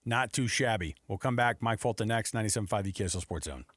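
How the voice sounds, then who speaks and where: A man hosting a sports radio talk show in an informal, slangy, animated way. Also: lowish voice, fast, breathy edge, no echo, studio quality.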